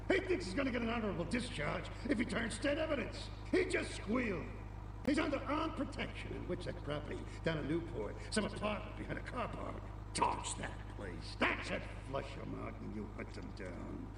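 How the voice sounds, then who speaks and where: An actor playing a gruff, shifty, corrupt older army veteran in a video game, streetwise and conspiratorial. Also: male voice, sits high, very animated, normal speed, full character voice. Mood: bitter, conspiratorial. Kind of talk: dramatic video game cutscene acting. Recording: roomy, distant, noisy echoing hall.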